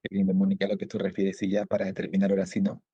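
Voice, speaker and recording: A man from Peru co-hosting an interview, courteous and measured as he asks questions and thanks his guest. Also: low voice, completely flat delivery, warm tone, slightly rough, dry recording, highs cut off. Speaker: interview co-host.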